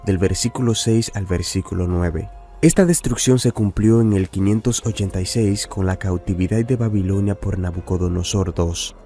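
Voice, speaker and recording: A man reading a scripted religious news report in a measured, even way. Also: on the low side. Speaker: narrator. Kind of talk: scripted narration.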